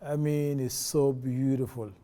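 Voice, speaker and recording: A man in his forties from South Sudan, with a South Sudanese accent, recalling his village childhood in a halting way with many fillers and restarts. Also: mid-range voice, little pitch movement, dark tone. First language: a South Sudanese language. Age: 40s. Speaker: interviewee. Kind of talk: personal testimony.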